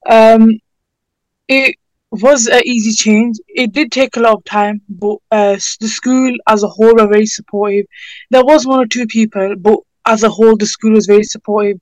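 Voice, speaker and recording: Teenage female, British accent, fairly even intonation, earnest, informal, hesitant, interview answers, dry recording. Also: on the high side, dry recording, low-quality recording. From United Kingdom. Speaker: interviewee, student campaigner.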